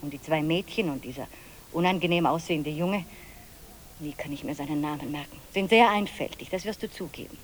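A woman's voice: sits low, brisk, slight background noise, natural voice. An actress playing a snobbish, overbearing wife and mother in a radio drama, with a prim and theatrical manner. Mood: indignant disdain.

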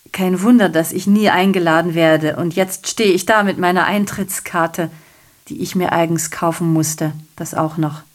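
An actress playing a garrulous, self-pitying messenger in a German radio play, giving a digressive, theatrical monologue that keeps correcting itself. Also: female voice, lowish voice, natural voice. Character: garrulous, self-pitying messenger reporting a night of revelry and shootings.

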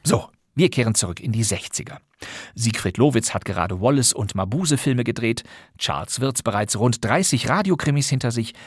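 A man's voice: mid-range voice, expressive, normal speed, breathy edge, no echo, studio quality. A man hosting a podcast, reading a scripted introduction to a radio play. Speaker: podcast host.

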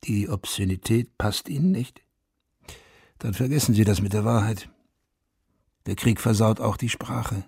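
An actor playing a haunted, self-accusing Vietnam War veteran who narrates a radio drama in a sober, confessional, terse way. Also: male voice, on the low side, measured pace, vocal fry, pauses often, dark tone, slightly rough, dry recording, heavily acted. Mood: grim, pointed. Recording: studio radio play with music underneath.

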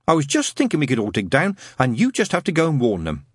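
Man voicing a bossy, animated know-it-all boy. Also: fairly high pitch, quick pace, breathy edge, dry recording, studio quality, pitched above the performer's own voice, full character voice. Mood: indignant, scornful. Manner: lofty, emphatic, insistent.

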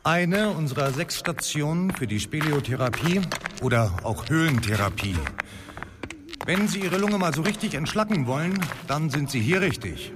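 Pompous cave guide, swooping melody. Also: male voice, fairly high pitch, background noise. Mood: brisk, promotional. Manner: lecturing, self-important. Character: pompous, stern cave tour guide, man. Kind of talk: radio drama acting.

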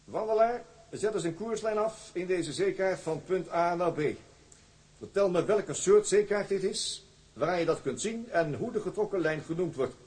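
An actor playing a formal nautical examiner in a radio drama, questioning a student. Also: male voice, high voice, moderate pace, a little mellow, voice pushed up, lightly acted.

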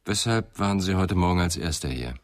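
An actor playing a calm, probing police inspector in a German radio crime drama, speaking in a measured, dry, thoughtful way. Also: male voice, deep voice.

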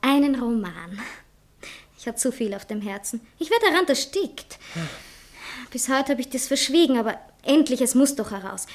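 A woman's voice: high-pitched, animated, moderate pace. An actress playing an earnest, self-important lady novelist in a 1960s radio play, theatrical and devoted to her lover. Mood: earnest, gushing.

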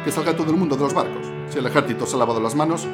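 An actor playing an urgent, indignant official in a radio drama. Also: male voice, fairly high pitch, rapid-fire, over music, close to an everyday voice.

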